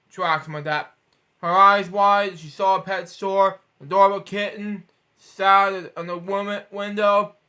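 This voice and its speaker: A man's voice: high voice, pushed and bright. A man reading a children's storybook aloud, stumbling over words and breaking off for rambling asides of his own. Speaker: storybook reader.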